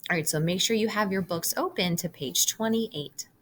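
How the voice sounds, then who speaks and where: A woman's voice: medium pitch, normal speed, a bit bright, slight background noise. A woman reading a children's story aloud expressively, in the manner of a teacher. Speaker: read-aloud reader, teacher.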